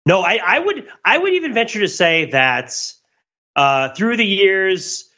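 A man with a fairly high, bright voice and very lively intonation. He co-hosts a casual comedy podcast, explaining things with emphasis and enthusiasm. The recording is a little dull and lo-fi. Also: a little slow. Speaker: podcast co-host. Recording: slightly dull, lo-fi microphone sound.

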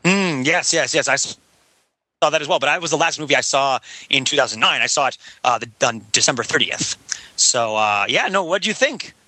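A man co-hosting a podcast in casual chat, a little hoarse, teasing and cracking jokes. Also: medium pitch, lively intonation, fairly quick, sharp tone, no echo. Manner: joking, teasing banter. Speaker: podcast co-host.

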